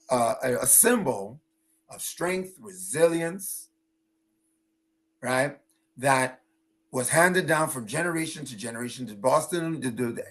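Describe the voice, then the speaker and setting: A man's voice: mid-range voice, a bit bright, low-quality recording. A man speaking as a panelist in an impassioned, explanatory way, stressing points and often checking in with the listener. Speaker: panelist, engineer and cultural rights advocate. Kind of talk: impassioned advocacy talk at a virtual panel.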